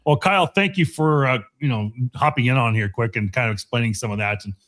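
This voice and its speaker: Guy hosting a chat, talks fast, informal, kinda self-deprecating. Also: medium pitch, fairly bright tone. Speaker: show host. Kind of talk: casual panel chat.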